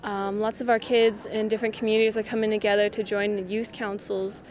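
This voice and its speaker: A woman's voice: mid-range voice, little pitch movement, fairly quick, darkish tone. A woman answering interview questions enthusiastically at a convention.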